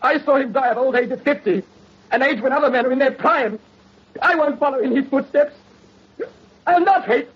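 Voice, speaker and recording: An actor playing an anguished, gentle young nobleman in 1930s radio melodrama, with a theatrical, impassioned delivery and a husky voice. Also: extremely high pitch, moderate pace, pauses often. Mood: impassioned defiance. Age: young adult. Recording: muffled old radio recording.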